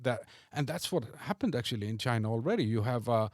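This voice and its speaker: A man's voice: medium pitch, lively intonation, quick pace, no echo, studio quality. A man from Iceland, hosting a podcast, who argues in a hesitant, reasoned way and often repeats words. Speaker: podcast host. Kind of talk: casual debate chat.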